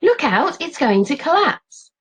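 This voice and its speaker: Storybook tribe in panic, one woman playing them: loud, high, clipped commands. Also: big pitch swings, a little slow, muffled recording. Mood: panicked. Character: panicking Stone Age tribespeople shouting orders.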